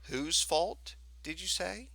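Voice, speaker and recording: A man voicing a calm, stern old storekeeper in a storybook, speaking in an unhurried, admonishing way. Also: high voice, gravelly, no echo, voice pushed up, full character voice. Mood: calm, mock-surprised. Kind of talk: storybook character voice.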